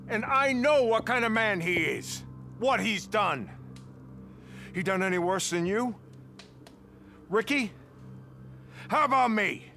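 A man's voice: high-pitched, animated, slow pace, creaky, long pauses, sharp tone, soft background music, exaggerated voice. An actor playing a world-weary, fair-minded older man who leads a survivor camp in a video game, with a commanding manner. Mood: firm, weary. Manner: commanding, weighty.

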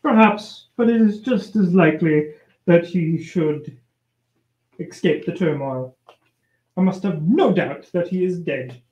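Radio-play villain played by an amateur: vain, vengeful male court official, slow, halting declamation, roomy sound. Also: medium pitch, a little mellow, close to an everyday voice. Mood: scheming. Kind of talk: amateur old-time radio play acting. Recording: dull, roomy amateur recording.

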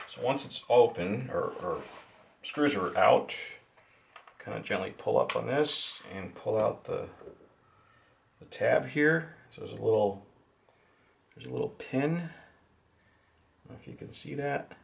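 A man casually demonstrating how to open and modify a radio at his workbench, talking slowly and haltingly to himself as he works. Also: mid-range voice, expressive, creaky, slight background noise. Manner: slow, halting, talks to himself while working. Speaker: hobbyist showing a radio modification. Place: indoors at a wooden workbench.

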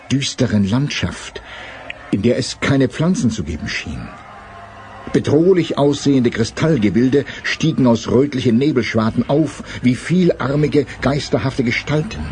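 A man's voice: mid-range voice, a little mellow, a little hiss or hum, natural voice. Grave fantasy audio drama narrator, a man. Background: faint music.

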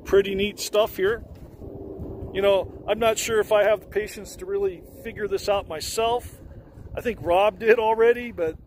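A man's voice: sits high, steady tone, moderate pace, darkish tone, a little hiss or hum, rough recording. A man in his forties hosting a casual travel vlog, talking into a handheld camera outdoors in an open park. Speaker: vlog host. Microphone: handheld camera at arm's length.

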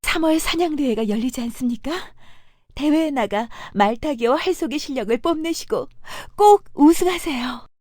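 A woman playing a gentle, determined young princess in a children's folktale, with polite, formal speech. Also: high voice, lively intonation, normal speed, dry recording, studio quality. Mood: encouraging. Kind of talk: children's animated folktale acting.

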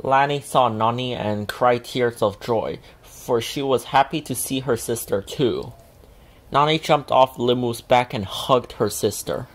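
A woman reading a children's picture book aloud as an expressive storyteller. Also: very deep voice, a little slow. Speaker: storybook reader.

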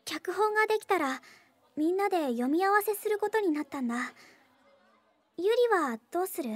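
A woman playing a gentle, earnest teenage schoolgirl in a Japanese anime game, with a soft, hesitant, friendly manner. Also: very high-pitched, lively intonation, moderate pace, dry recording.

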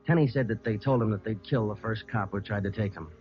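Man playing clipped radio detective, low voice, quick matter-of-fact delivery, businesslike, muffled vintage recording. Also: faint music behind, lightly acted. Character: clipped, businesslike male police detective, tough and dry. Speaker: detective narrator. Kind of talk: 1950s radio drama acting with scripted narration.

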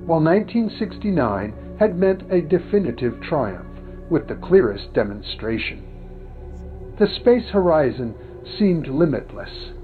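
Tinny, lively male documentary narrator. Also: a little high, a little slow. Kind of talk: formal scripted documentary narration. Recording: tinny phone-like sound over music. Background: background music.

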